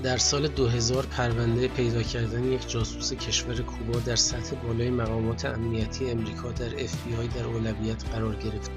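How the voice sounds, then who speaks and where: Iranian guy reading documentary narration, pretty flat measured delivery, loud music underneath. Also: mid-range voice. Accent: Iranian Persian. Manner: measured, formal storytelling.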